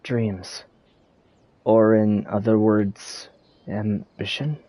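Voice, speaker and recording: Slow, mellow, low-voiced man. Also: little pitch movement, no room sound.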